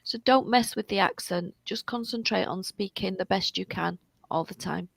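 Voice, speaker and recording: A woman's voice: medium pitch, normal speed, gravelly, dry recording. A British woman tutoring English over a video call, encouraging and emphatic, often repeating words for stress. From United Kingdom. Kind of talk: online tutoring conversation.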